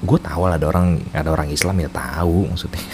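A man's voice: deep voice, fairly even intonation, brisk, a little hiss or hum. A man with a Jakarta Indonesian accent telling personal stories casually, slipping into English and slang, in a self-mocking way. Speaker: storyteller host. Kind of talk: casual personal storytelling with English code-switching.

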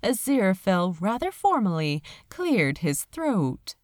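A woman reading a story aloud as an audiobook narrator, with expressive scripted delivery. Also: mid-range voice, measured pace, no echo, studio quality. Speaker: audiobook reader.

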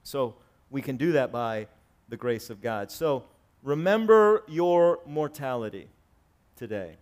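A man in his forties preaching a sermon to his congregation, swinging between raised, emphatic lines and quiet, conversational asides. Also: medium pitch, expressive, measured pace. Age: 40s. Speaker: pastor. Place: church sanctuary with congregation.

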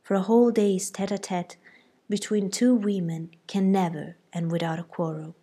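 Lady reading as a mild young woman, soft and raspy. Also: medium pitch, steady tone, moderate pace, close to an everyday voice. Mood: calm. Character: gentle, mild young woman, eldest daughter.

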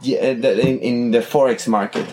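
A man's voice: medium pitch, fairly even intonation, normal speed, darkish tone. A man from Chile, a guest on a podcast, talking casually and often stopping to search for words.

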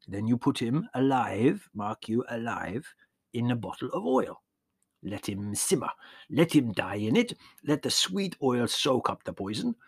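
A man voicing a toothless old shepherd who tells gruesome tales slowly and with relish. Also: medium pitch, sing-song, slightly rough, dry recording, full character voice. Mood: storytelling. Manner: slow, dramatic, relishing gruesome detail. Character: toothless old male village shepherd, gossipy storyteller. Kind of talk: audiobook character voice.

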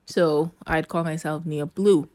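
A young woman with a lowish voice hosts her podcast solo in a relaxed, chatty way. She laughs easily and talks straight to her listeners. The sound is dry and close, with no room echo. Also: unhurried. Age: young adult. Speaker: podcast host. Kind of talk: casual solo podcast chat. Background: rain.

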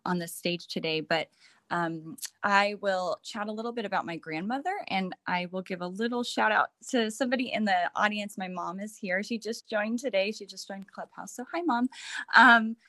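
A woman speaking in a warm-hearted, halting way with many restarts in a casual group chat. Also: mid-range voice, dry recording, clean studio sound. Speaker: panel speaker.

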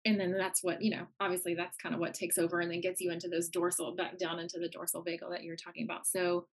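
A woman's voice: lowish voice, flat delivery, quick pace, creaky, slightly rough. A woman co-hosting a podcast, working her way loosely through a long interview question. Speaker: podcast co-host.

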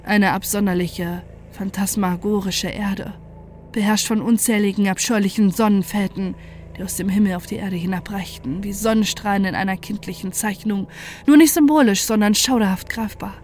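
A woman's voice: mid-range voice, steady tone, moderate pace, darkish tone, a little hiss or hum, natural voice. A woman playing a desperate narrator in a first-person horror audio drama, telling it with dramatic intensity and dread. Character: desperate, horrified narrator confronting a mad former friend.

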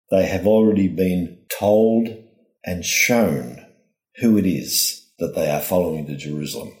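A low-voiced man gives a reflective scripted homily. He speaks very slowly and formally, setting down each phrase with care, in a roomy, echoing space. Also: a little mellow. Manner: deliberate, phrase-by-phrase delivery, formal. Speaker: priest giving a reflection.

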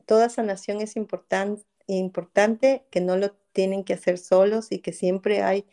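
A woman's voice: mid-range voice, steady tone, unhurried, warm tone. A woman guest psychologist speaking earnestly, with frequent hesitations and repeated words. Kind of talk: interview talk.

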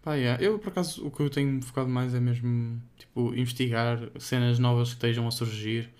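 A man's voice: medium pitch, fairly even intonation, brisk, a little mellow. A young man with a European Portuguese accent chatting casually and informally as a podcast co-host, using plenty of slang. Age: young adult. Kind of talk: casual chat.

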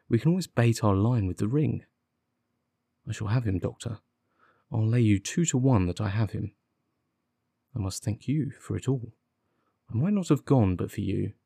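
A man voicing a confident, impatient gentleman detective, articulate and sardonic, in an audiobook. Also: fairly low pitch, creaky, slightly rough, dry recording, clean studio sound, full character voice. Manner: articulate, commanding, witty. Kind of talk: audiobook character dialogue.

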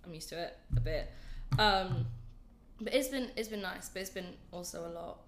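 A woman's voice: lowish voice, moderate pace, reverberant, background noise. A young woman in her twenties, chatting as a podcast guest, a bit congested from a flu, rambling and self-deprecating. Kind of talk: casual chat.

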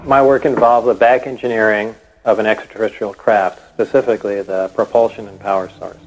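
Low-voiced man giving interview testimony. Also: fairly even intonation, moderate pace, rough recording. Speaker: interviewee in an archive clip.

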